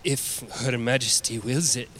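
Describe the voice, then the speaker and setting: An actor playing a weary, honorable knight in a fantasy audio drama, speaking formally and solemnly. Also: male voice, measured pace, fairly bright tone. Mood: deferential. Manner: formal, solemn archaic phrasing. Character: weary, honorable old-soldier knight, humble and guilt-ridden. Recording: noisy, rumbling storm effects behind.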